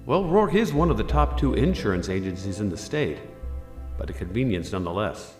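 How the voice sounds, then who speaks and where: Man acting lowish-voiced investigator in an echoey space. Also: lively intonation, a little fast, close to an everyday voice. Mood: probing. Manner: matter-of-fact, probing. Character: inquisitive male insurance claims investigator, businesslike. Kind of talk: pulp radio drama acting. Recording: echoing room, faint music behind.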